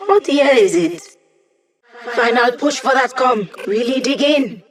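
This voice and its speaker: A woman's voice: fairly high pitch, a little slow, natural voice. A woman playing a cheeky young hacker who gives tech support in a video game, with a snarky, playful manner and chatty tech slang. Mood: upbeat. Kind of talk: video game character voice lines.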